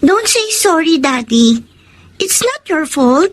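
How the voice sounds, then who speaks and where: A woman's voice: very high voice, big pitch swings, slow pace, heavily acted. An actress playing a sweet, polite little schoolgirl in a radio drama. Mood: sweet, reassuring.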